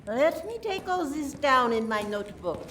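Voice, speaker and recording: A woman playing a suspicious, politely condescending French police inspector in an amateur radio play, deliberate and theatrical. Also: high voice, very animated, fairly bright tone. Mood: accusing. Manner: deliberate, theatrical, repeats words for emphasis. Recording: distant mic, faint noise, room ambience.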